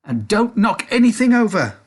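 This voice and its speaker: A man doing a shouty, excitable Willy Wonka. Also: fairly high pitch, moderate pace, husky, voice pushed up, exaggerated voice. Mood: stern. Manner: shouting, bossy, gleeful. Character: excitable eccentric male chocolate-factory owner.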